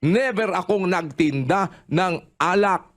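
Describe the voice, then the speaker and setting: A man speaking publicly in an emphatic, deliberate manner. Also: high-pitched, a little hiss or hum.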